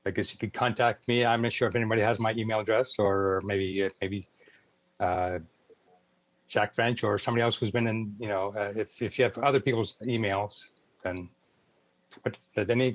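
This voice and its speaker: A man chairing an informal online club meeting in a halting, hesitant way over muffled video call audio. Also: on the low side, no room sound.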